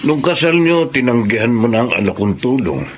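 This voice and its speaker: A man playing a sincere, tender older uncle in a radio drama, with a Filipino accent and a husky, creaky voice. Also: mid-range voice, big pitch swings, fairly quick, background noise, natural voice. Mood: insistent.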